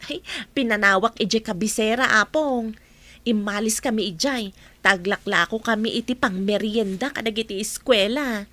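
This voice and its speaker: A woman's voice: medium pitch, normal speed, sharp tone, no room sound, lightly acted. A woman playing an agreeable, reassuring young working woman in an audio drama, with an Ilocano Filipino accent. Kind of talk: amateur audio drama acting.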